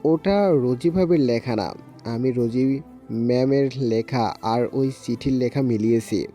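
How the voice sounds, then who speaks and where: A man's voice: medium pitch, measured pace, warm tone, natural voice. A man playing a careful, deferential young assistant in an audio story drama with music behind him. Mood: cautious.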